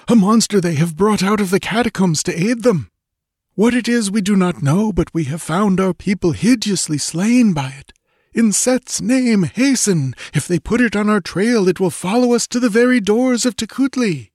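A man voicing a frightened male guide who pleads and shouts in a shrill, panicky voice. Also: expressive, normal speed, dry recording, clean studio sound. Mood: frantic.

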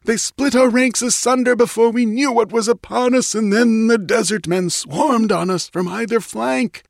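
Shrieking, high-pitched doomed skull-faced priest. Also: male voice, normal speed, vocal fry, dry recording, clean studio sound. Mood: frantic, despairing. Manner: screaming, desperate battlefield report. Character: skull-headed dying priest, frantic, shrieking through a crystal. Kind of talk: dramatic audiobook character voice.

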